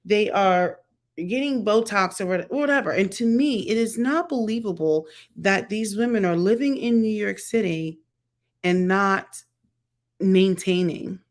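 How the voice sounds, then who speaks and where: Middle-aged woman vlogging her hot takes, chatty and blunt, pitch right in the middle. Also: expressive, a little slow. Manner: chatty, candid, blunt, with mocking asides. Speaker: vlogger reviewing a TV show. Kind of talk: casual opinion commentary.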